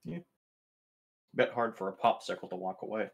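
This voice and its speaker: A man's voice: lowish voice, lively intonation, moderate pace, gravelly. A man playing a tabletop game, chatting casually with a wry manner.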